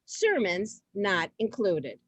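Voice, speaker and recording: A woman's voice: mid-range voice, huge pitch swings, slow pace, a bit bright, slightly rough, dry recording. A woman hosting an amateur comic readers' theater, moving between scripted lines and ad-libs. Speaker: host of a comic contest.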